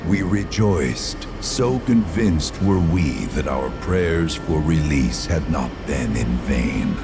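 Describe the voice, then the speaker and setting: An actor playing an ancient, sorrowful spirit in a video game, speaking in solemn, archaic, grandiose phrases. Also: male voice, rumbling low, lively intonation, slow, gravelly, over music, heavily acted. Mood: reverent, mournful. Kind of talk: formal archaic dramatic game acting.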